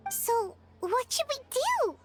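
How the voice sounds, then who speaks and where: A woman voicing a tiny, excitable, childlike fairy companion in a video game, squeaky and exclamatory. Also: slow pace, heavily acted. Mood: puzzled. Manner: exclamatory, expressive. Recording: loud background music.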